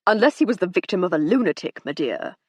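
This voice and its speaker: A woman reading aloud voices a chubby, red-faced gentleman who blusters in a slightly drunken way. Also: on the low side, very animated, quick pace, husky, dry recording. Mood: blustering.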